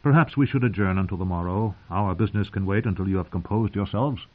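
Casting: smooth-tongued noble envoy acted by a male audiobook reader, formal, insistent, courteous; thin phone-like recording. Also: sits low, quick pace. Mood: courteous, measured. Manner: formal, diplomatic, politely insistent.

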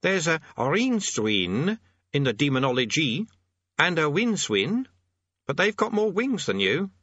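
A man voicing a squeaky, eager young boy demonologist who blusters pompously and hesitates, in a storybook reading. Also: very animated, unhurried, fairly bright tone, slightly rough, no echo, muffled recording, heavily acted. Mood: eager, chatty. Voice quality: squeaky, high-pitched.

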